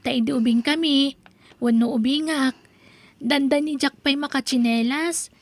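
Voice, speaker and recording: A woman acting a humble, grateful young student from a poor family in an Ilocano radio drama, earnest and gentle, with an Ilocano accent. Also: high-pitched, fairly even intonation, normal speed.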